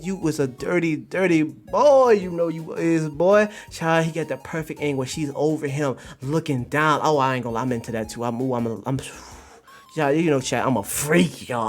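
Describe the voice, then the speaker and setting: A man streaming to chat, retelling what he reacts to in an exaggerated, theatrical, slangy way with comic exclamations. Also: fairly high pitch. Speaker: streamer, VTuber. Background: background music.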